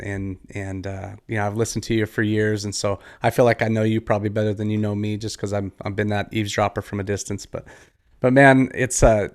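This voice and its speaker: An American man hosting a podcast interview, chatting in an informal, friendly and somewhat halting way. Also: on the low side. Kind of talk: casual interview chat.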